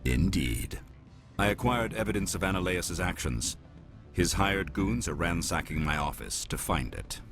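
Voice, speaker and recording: An actor playing a shrewd, formal alien businessman in a video game, speaking in measured, precise phrases. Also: deep voice, expressive. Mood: calm, businesslike. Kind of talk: scripted video game dialogue. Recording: music behind the voice.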